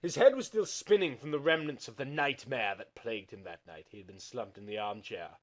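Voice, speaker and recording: A man narrating a story in an overdramatic, theatrical way, swinging between hushed and loud delivery. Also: mid-range voice, brisk. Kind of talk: theatrical dramatic reading of comic fan fiction.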